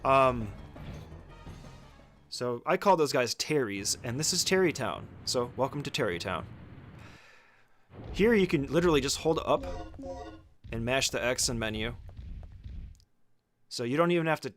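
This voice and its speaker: A man's voice: medium pitch, a bit bright. Man giving casual gameplay walkthrough over music. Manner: informal, occasionally swearing, step-by-step instructions. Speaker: speedrunner giving a tutorial. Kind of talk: casual instructional gameplay commentary.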